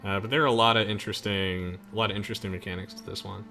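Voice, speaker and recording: A man giving casual, chatty gameplay commentary while playing with friends, in an excitable manner. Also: lowish voice, racing, vocal fry. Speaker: gamer, let's play host. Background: game music.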